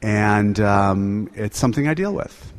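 A man speaking earnestly at a recovery meeting, sharing his story with lively asides. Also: lowish voice, a little slow. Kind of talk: personal share at a meeting.

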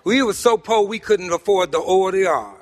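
A middle-aged or older man with a New York accent, giving an animated, humorous personal testimony to a group, with emphatic pauses and repeated phrases. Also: high-pitched. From Harlem, New York City, United States. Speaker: speaker at a recovery meeting. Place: meeting hall, speaking to a group. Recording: echoey hall with long reverb.

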